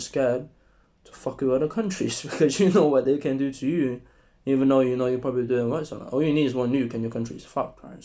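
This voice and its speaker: A young man with a sore, strained throat, talking casually to camera in a rambling, profane monologue while trying to keep his voice deeper. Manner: rambling, profane, relaxed and deliberately deeper delivery. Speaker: vlogger.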